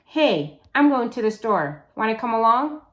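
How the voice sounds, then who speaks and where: A woman's voice: on the high side, very animated, normal speed, a bit bright. A woman voicing a feisty, bossy young girl from a storybook, insistent and sarcastic as she pleads. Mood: falsely casual.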